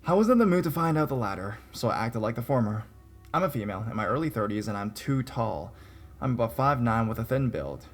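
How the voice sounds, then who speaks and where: A man reads viewer-submitted horror stories aloud at a middle pitch. He keeps a steady storytelling delivery and drops in dry, sarcastic remarks along the way. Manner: wry, sarcastic asides. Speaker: narrator. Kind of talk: scripted narration of reader stories.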